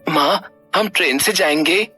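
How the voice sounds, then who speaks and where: Woman as little boy, innocent, childlike, cartoon story, soft music behind. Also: low-pitched, a little slow. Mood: plaintive. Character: innocent, hungry little boy, son of a poor mother. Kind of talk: cartoon moral story acting.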